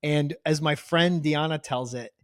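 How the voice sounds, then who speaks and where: A man's voice: a little high, a little slow, smooth, no room sound, studio quality. A man co-hosting a casual TV rewatch podcast, chatting in a jokey way and swearing casually. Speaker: podcast co-host. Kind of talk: casual chat, TV rewatch review.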